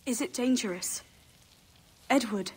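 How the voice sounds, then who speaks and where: Worried young wife, higher voice, acted by a woman. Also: unhurried, very noisy, natural voice. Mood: worried, pleading. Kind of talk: video game cutscene acting.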